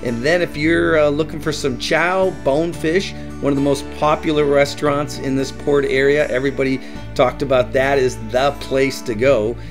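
A man's voice: medium pitch, steady tone, moderate pace, music in the background. A middle-aged man hosting a travel vlog, giving upbeat tips and often saying "that's right". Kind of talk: enthusiastic travel guide narration.

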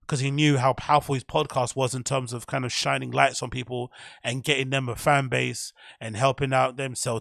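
A man talks through his theory in a loose, meandering way, often correcting himself as he goes. His voice has a gravelly edge. The sound is dry and close, with no room around it. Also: mid-range voice, a bit bright, dry recording. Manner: rambling, self-correcting, opinionated. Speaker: podcast host. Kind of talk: casual commentary monologue.

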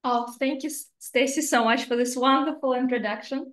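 Russian-accented woman in her thirties, interview guest, raised voice, earnest and a little halting, roomy laptop-call sound. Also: high voice, steady tone. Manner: earnest, slightly halting phrasing. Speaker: guest investor. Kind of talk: remote interview. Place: home office indoors. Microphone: laptop camera on video call. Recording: roomy video-call audio.

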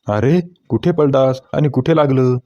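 A man playing a stern, brisk schoolteacher questioning a pupil in a cartoon comedy. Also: medium pitch, warm tone, no room sound, lo-fi sound, lightly acted. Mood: stern, scolding. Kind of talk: cartoon comedy skit dialogue.

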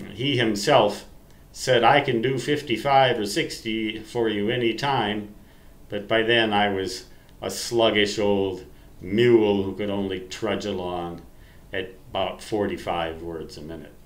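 Slow-talking older American man, echoey room. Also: fairly low pitch. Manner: folksy, self-deprecating, halting. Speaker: amateur radio vlogger. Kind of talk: rambling reminiscence to camera. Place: small room at home.

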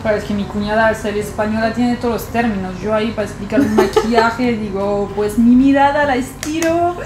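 A woman's voice: mid-range voice, little pitch movement, a little fast. French-accented young woman, echoey room. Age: young adult. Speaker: guest in a makeup video. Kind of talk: casual makeup chat. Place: small bright bedroom, indoors. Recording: echoey room with background music.

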